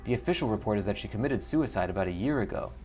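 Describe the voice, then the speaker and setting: A man voicing a wry, matter-of-fact dwarf coroner in a video game, explaining things in a measured way. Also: on the low side, expressive, darkish tone, soft background music, lightly acted, low-quality recording. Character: dry, wry dwarf coroner, principled and solitary.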